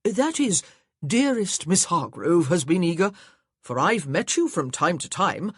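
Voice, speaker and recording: A narrator voicing a hearty, rambling, nearsighted older gentleman in a period audiobook. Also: sing-song, unhurried, husky, dry recording, studio quality, full character voice. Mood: jovial. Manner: jovial, booming, rambling. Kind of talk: audiobook character voice.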